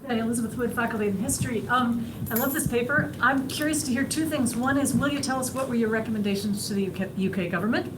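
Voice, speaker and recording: A woman's voice: on the high side, fast talker, hardly any pauses. A woman who is a history professor, asking spontaneous questions as an audience member at an academic seminar. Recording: clearly noisy seminar room recording.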